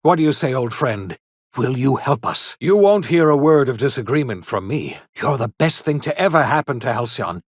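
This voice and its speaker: Game role: elderly male eccentric scientist, voiced by a man, theatrical, old-fashioned diction, very animated mid-pitched delivery. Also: moderate pace, no room sound, studio quality, natural voice. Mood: earnest, hopeful. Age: older. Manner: theatrical, formal old-fashioned phrasing, emphatic. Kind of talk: video game character dialogue.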